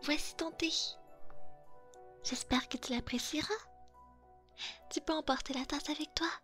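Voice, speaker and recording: Woman as a high-voiced, thoughtful fox spirit. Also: fairly even intonation, measured pace, fairly bright tone, soft background music. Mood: apologetic, shy. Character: thoughtful, polite female kitsune fox spirit, gently lecturing. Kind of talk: ASMR roleplay acting.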